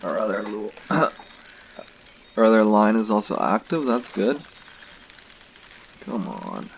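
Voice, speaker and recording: A young man giving relaxed, casual commentary to viewers while he plays a fishing game. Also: fairly low pitch, slow, creaky, slight background noise, rough recording. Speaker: gaming video host. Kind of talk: casual let's-play commentary.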